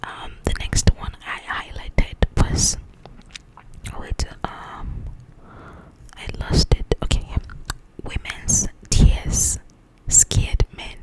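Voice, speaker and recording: A woman speaking in a breathy, confiding whisper, chatting casually to the listener in an ASMR style. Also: very slow, a little mellow, poor audio. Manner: confiding, conversational, checks that the listener follows. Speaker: host of an ASMR book channel. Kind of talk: ASMR, whispered chat about book highlights.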